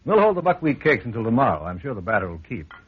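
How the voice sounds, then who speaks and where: Tinny old-radio measured male mystery storyteller. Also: mid-range voice, sing-song, slightly rough. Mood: concerned, friendly. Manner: measured, formal, theatrical. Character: courteous mystery storyteller, helpful old college friend. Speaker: host and storyteller. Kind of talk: old-time radio drama narration.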